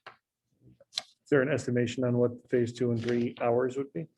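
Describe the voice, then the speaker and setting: Man, town official, gravelly low-key voice, soft dark tone, meeting discussion, faint noise. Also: little pitch movement, a little slow. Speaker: town official at a bid opening. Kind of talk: public meeting discussion, summing up documents.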